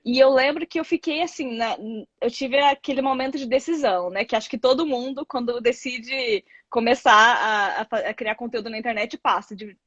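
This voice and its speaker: A woman in her thirties is a guest on a casual live chat. She talks at a slightly high pitch in a rambling, expressive way, often restarting her sentences. The sound is dry and a little muffled, like a video call. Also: normal speed, no echo. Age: 30s. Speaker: guest content creator.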